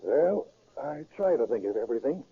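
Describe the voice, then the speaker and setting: An actor playing a bossy, confident safecracking gang leader in a 1930s radio drama. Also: male voice, mid-range voice, big pitch swings, a little fast, breathy edge. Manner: commanding, cocksure. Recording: muffled vintage 1930s radio recording.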